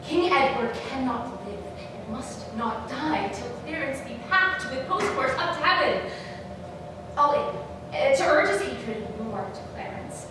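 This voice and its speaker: A woman playing a scheming, bitter royal villain in a theatrical Shakespearean staged reading, with dramatic, weighted pauses. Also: sits high, expressive. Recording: very echoey noisy hall, distant mic.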